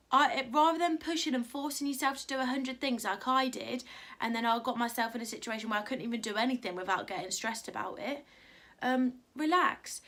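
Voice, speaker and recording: A young woman talks casually to camera, her voice a little high and croaky from just waking up. She rambles warmly through her points, full of fillers and friendly encouragement. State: croaky morning voice. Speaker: vlogger. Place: at home.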